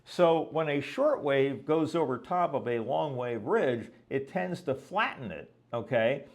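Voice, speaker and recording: A man's voice: mid-range voice, animated, unhurried. Male educator, mid-range voice, conversational and didactic, explaining step by step with frequent check-ins. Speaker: weather educator and presenter. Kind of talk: explanatory lecture with visual examples.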